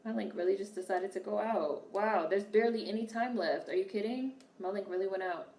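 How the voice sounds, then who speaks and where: A woman's voice: mid-range voice, brisk, darkish tone. A young woman hosting a live watch-along stream, talking bluntly and candidly to her chat. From United States. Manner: blunt, candid and profane, reacting to chat comments. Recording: roomy sound, voice distant from the mic.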